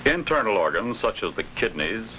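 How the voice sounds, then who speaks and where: American guy narrating an old training film, formal and authoritative, lively intonation, bit of background noise. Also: fairly low pitch. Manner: authoritative, deliberate enunciation.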